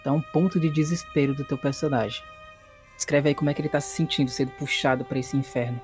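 A man's voice: medium pitch, fairly even intonation, fast talker, a little mellow, soft background music. A man with a Brazilian accent running a tabletop horror game, moving between dramatic narration and casual refereeing. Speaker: game master.

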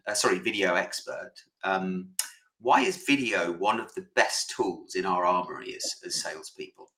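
A man co-hosting a podcast in a relaxed, chatty way, with frequent "uh"s. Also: low-pitched, normal speed, roomy sound, rough recording. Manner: relaxed, lots of fillers. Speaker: podcast co-host. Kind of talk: casual podcast chat.

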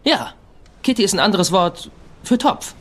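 Husky, excitable defensive sidekick. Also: male voice, sits high, moderate pace, exaggerated voice. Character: earnest, defensive young sidekick, easily exasperated. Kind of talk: dubbed comedy crime series acting.

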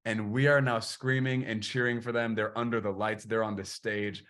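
A man's voice: lowish voice, level tone, normal speed, a bit bright. A man hosting a podcast interview, speaking earnestly and with passion, using preacher-like phrasing. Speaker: podcast host. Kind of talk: casual interview chat.

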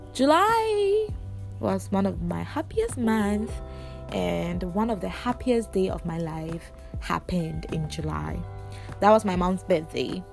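A woman, hosting a casual solo podcast in a chatty, confiding way, her voice raspy from a cold. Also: mid-range voice. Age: 20s. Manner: chatty, confiding, laughs often. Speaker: podcast host.

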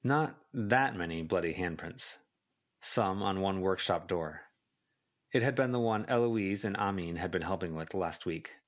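Slightly low-voiced man narrating fiction. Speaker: volunteer audiobook narrator.

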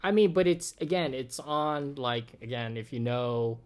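A man in his late twenties with a Californian American accent and a medium-pitched voice. He talks casually and loosely, circling around his points with plenty of "like" and some casual swearing, as a guest in conversation. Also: measured pace. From Oakland, California, USA. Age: 20s. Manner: rambling, frequent "like", casual swearing. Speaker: podcast guest.

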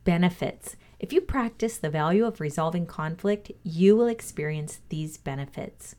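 A woman reading a motivational lesson aloud as a co-host, in a measured, instructive way. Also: lowish voice, lively intonation, warm tone.

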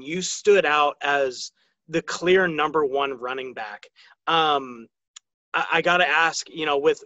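A man's voice: a little high, bright, forceful tone, dry recording, dull audio. An American man speaking as the host of a sports podcast, interviewing a guest.

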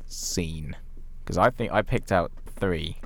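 A man's voice: low-pitched, takes it slowly, creaky, a bit bright. A man co-hosting a podcast in an informal, conversational way, talking casually about films. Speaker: podcast co-host. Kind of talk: casual film discussion chat.

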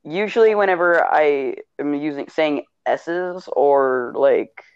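A man co-hosting a casual podcast over online voice chat while gaming, joking and talking in quick rambling bursts. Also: on the high side, clear, resonant tone, no echo, lo-fi sound. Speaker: podcast co-host.

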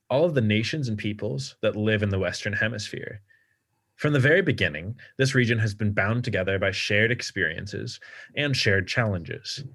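A man's voice: fairly low pitch, a bit bright. A man in his twenties co-hosting a podcast, reading a scripted intro and then talking casually with many fillers and restarts. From United States. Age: 20s. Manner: halting, many fillers and restarts in free talk. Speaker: podcast co-host.

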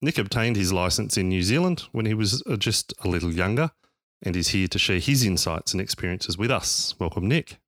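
A male podcast host with a fairly low voice, reading a scripted welcome and then moving into easy conversation. He steers the guest with short prompts and questions. The recording is close and dry. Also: lively intonation, fairly quick, a bit bright, no echo. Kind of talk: scripted intro, then casual interview chat.

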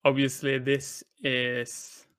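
A man's voice: medium pitch, completely flat delivery, slow, fairly bright tone, clean tone. A confident, boastful man from Norway chatting casually as an esports team manager on a panel. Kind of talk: casual chat.

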